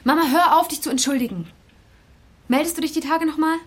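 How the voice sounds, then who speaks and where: An actress playing an insecure, easily flustered young student in a naturalistic radio drama, with halting delivery. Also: female voice, high-pitched, a little hiss or hum, lightly acted. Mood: impatient. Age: young adult. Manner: unsure, halting.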